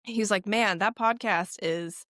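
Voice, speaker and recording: A woman co-hosting a podcast, chatting casually in an animated, teasing way with quick jokes. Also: mid-range voice, no echo, studio quality. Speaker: podcast co-host. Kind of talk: casual chat.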